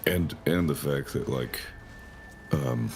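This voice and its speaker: Very deep-voiced male game developer. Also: takes it slowly, gravelly, a little mellow. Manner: rambling, thinking aloud with many fillers. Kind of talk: casual interview answers.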